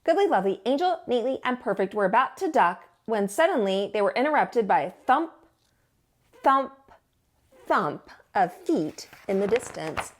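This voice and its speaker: A woman narrating a children's storybook as a storytime teacher, in an expressive read-aloud style. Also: fairly high pitch, moderate pace.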